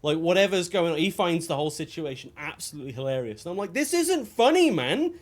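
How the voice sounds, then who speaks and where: A man's voice: on the high side, big pitch swings, fast. A man livestreaming a video game, chatting casually and telling rambling anecdotes with dry asides. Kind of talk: casual chat while playing a video game.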